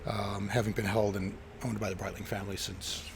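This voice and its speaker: A man's voice: fairly low pitch, flat delivery, a little fast, creaky. Middle-aged man telling stories over background noise. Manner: enthusiastic storyteller, acts out quoted dialogue. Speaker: interview guest, retired watch collector. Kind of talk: casual anecdotal conversation.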